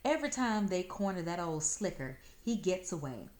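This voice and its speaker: A woman's voice: fairly low pitch, very animated, moderate pace, a little mellow. A woman voicing a no-nonsense old country grandmother in a storybook, with folksy, firm speech. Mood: grumbling. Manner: folksy, firm, rural dialect. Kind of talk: storybook read-aloud.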